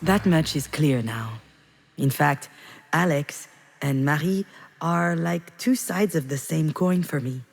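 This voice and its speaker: An actress playing a reflective, wounded woman confiding her memories in measured, intimate visual novel narration. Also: low-pitched, vocal fry, heavily acted. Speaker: video game character narrator. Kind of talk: introspective scripted narration, visual novel voice acting.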